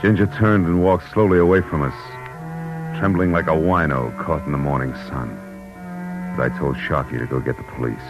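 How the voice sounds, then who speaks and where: A man's voice: a bass voice, fairly even intonation, normal speed, dull audio. An actor playing a hardboiled, sardonic male private detective in an old radio drama, with tough, clipped delivery. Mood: grim, wry narration. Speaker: narrator and lead actor. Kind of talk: 1940s-50s radio detective drama acting, first-person narration. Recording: old radio recording with music.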